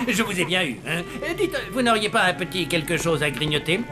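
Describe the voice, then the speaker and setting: A man's voice: sits high, expressive, moderate pace, over music. A man voicing a nosy, hungry ghost-hunting sidekick in a cartoon, hesitant and blurting. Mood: cheeky, suspicious. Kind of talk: French animated series voice acting.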